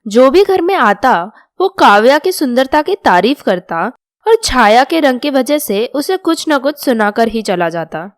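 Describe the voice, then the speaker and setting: Woman reading a story as narrator, high voice, very dry recording. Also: very animated, a little slow, no room sound.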